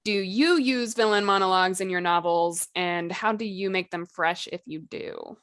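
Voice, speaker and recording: A woman's voice: lowish voice, normal speed, fairly bright tone, clean tone, dry recording, studio quality. A woman co-hosting a casual podcast discussion, talking in a conversational, loosely hedged way with "like" and "you know" sprinkled in. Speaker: podcast co-host.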